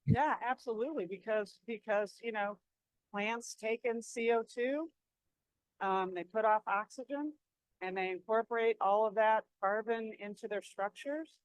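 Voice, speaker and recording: A woman's voice: on the high side, clear, resonant tone, no room sound, rough recording. A woman presenter answering audience questions in a conversational way, often repeating a word as she restarts. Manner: quick, repeats words when restarting.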